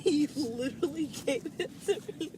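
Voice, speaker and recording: A woman's voice: sits high, lively intonation, moderate pace, background noise. A young woman chatting casually inside a car, picked up on someone's phone. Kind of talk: casual chat. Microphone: phone, held away from the speaker.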